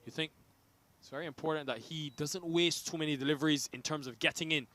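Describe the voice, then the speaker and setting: A man's voice: fairly high pitch, fairly even intonation, fast talker, bright, forceful tone. A man calling a cricket match as play-by-play commentator, rising with the big hits.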